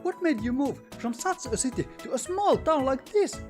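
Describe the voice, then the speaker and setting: A woman's voice: high voice, animated, moderate pace, mellow, soft background music. A woman voicing a chatty, nosy young school classmate in a visual novel. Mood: curious.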